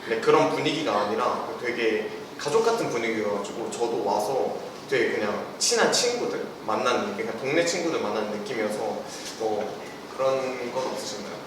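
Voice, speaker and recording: A man's voice: mid-range voice, animated, fairly quick. A young man in easygoing, chatty conversation on a student Q&A panel. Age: young adult. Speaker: student ambassador. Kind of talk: casual panel Q&A. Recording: reverberant hall, distant mic, noisy.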